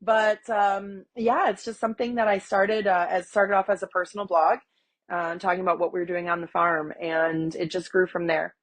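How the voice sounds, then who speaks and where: Guest: woman in her forties, slightly low voice, congested from a cold, animated and chatty. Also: moderate pace. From Wisconsin, USA. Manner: chatty, animated storytelling with fillers. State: stuffy from a cold. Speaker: guest, agriculture advocate. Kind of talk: conversational interview.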